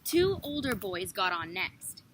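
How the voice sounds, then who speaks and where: A young woman reads a children's story aloud at a slow pace. Her voice is bright and clear-cut, her phrasing careful, with noticeable noise in the background. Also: mid-range voice, very animated, rough recording. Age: young adult. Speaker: student storybook reader. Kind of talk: scripted children's story narration.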